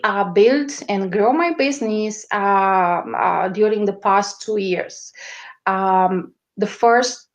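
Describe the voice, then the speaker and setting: This is a woman with a strong Romanian accent, giving a guest interview over a video call. She talks slowly at a middle pitch. Her sentences stall on fillers and restarts while she looks for the words. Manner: hesitant, searching for words with many fillers. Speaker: guest, media strategist. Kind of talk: interview answers.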